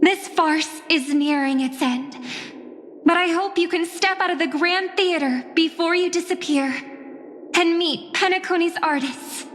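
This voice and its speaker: An actress playing a solemn young stage performer, speaking formally and earnestly in a dramatic game style. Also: female voice, very high voice, measured pace, fairly bright tone, a little hiss or hum. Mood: solemn, tender. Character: solemn young female stage performer freeing a fallen idol. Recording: music behind the voice.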